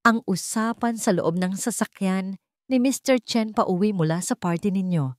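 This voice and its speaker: A woman's voice: medium pitch, moderate pace, no echo, studio quality, close to an everyday voice. A woman voicing a loyal, discreet male investigator in an audio drama, matter-of-fact and deferential. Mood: businesslike.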